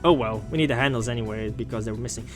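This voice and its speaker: A man giving casual, jokey, expressive let's-play commentary on a video game. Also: mid-range voice, very fast. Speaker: gaming video host. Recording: game music behind the voice. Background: game music.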